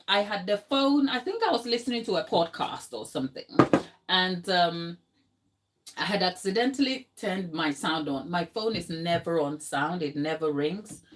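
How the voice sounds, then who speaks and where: A woman's voice: medium pitch, slight background noise. A Ghanaian woman chatting casually in her home kitchen, telling a story with lots of fillers and asides to her viewers. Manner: chatty storytelling, many fillers, talks to live chat. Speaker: home baker and channel host. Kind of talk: casual chat while working in the kitchen.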